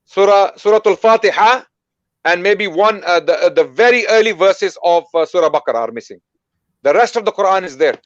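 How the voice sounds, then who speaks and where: A man's voice: high-pitched, animated, brisk, dry recording. A man giving an emphatic talk and repeating his key points. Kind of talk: recorded talk played back. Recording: dull lo-fi clip.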